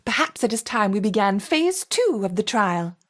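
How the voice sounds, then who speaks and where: A woman's voice: medium pitch, very animated, normal speed, a bit bright. A woman playing a commanding lead scientist in a video game, composed and authoritative. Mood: cool, in command. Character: commanding female lead scientist overseeing an experiment. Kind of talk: video game character acting.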